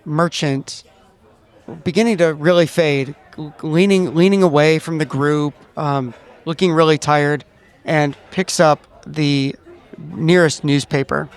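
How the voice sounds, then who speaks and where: A man playing a tabletop game, improvising narration and character lines in a halting, stammering way. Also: fairly high pitch, steady tone, clear, resonant tone. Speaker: player in a tabletop game podcast. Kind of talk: improvised storytelling, acting out a character.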